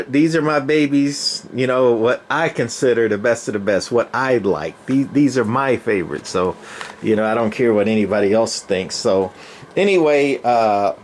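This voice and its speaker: Male hobbyist presenter, mid-range voice, plainspoken and rambling, off-the-cuff show-and-tell with background noise. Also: medium pitch. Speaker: radio collector presenting his collection. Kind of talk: casual unscripted show-and-tell. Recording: clear background noise, room ambience.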